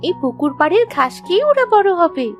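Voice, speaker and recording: A woman voicing an eager, excitable young village daughter in a children's cartoon story. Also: very high-pitched, a little fast, faint music behind, voice pushed up. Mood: delighted, eager.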